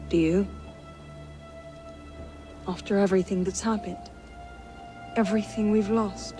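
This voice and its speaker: An actress playing a resolute, thoughtful young woman in a video game, speaking in a composed, measured way. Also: mid-range voice, steady tone, over music, close to an everyday voice. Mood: reflective. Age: young adult.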